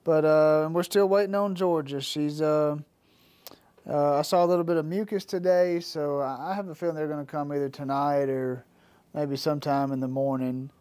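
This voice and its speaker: A man talks to camera in a barn at night, his voice a bit stuffy and nasal from a recent cold. His tone is mellow and sits a little high for a man. He rambles easily, with plenty of fillers and restarts. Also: fairly even intonation, clear, resonant tone. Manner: halting, many fillers and restarts. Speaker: farm vlogger. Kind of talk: casual talk to camera.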